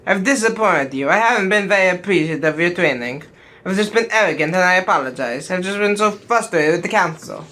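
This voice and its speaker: A man acts an ambitious, troubled young Jedi in a parody audio drama. The delivery is earnest and brooding, with an animated pitch. Noise can be heard behind the voice. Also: a little fast. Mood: contrite.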